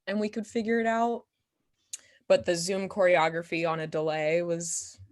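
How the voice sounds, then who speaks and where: Slow-paced, giggly, low-pitched young-sounding woman. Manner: giggly, slangy, expressive. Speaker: guest performer and playwright in a post-show talkback. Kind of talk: casual question-and-answer chat.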